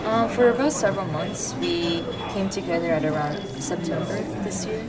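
A woman's voice: mid-range voice, normal speed, far from the mic, over music. A sixteen-year-old young woman, a string player answering casually in a group interview. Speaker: young violinist and violist, interviewee. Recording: off-mic in loud crowded space. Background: loud crowd chatter.

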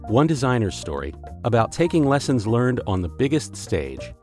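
A man's voice: mid-range voice, very animated, normal speed, a little mellow, faint music behind. A male podcast host narrating a scripted story and posing rhetorical questions.

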